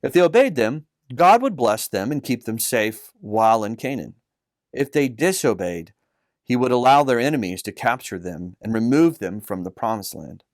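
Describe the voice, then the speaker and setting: A man narrates a children's Bible story from a script, measured and earnest. His pitch sits in the middle, and the recording is very dry. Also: sing-song, no room sound. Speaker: storyteller, author reading own story.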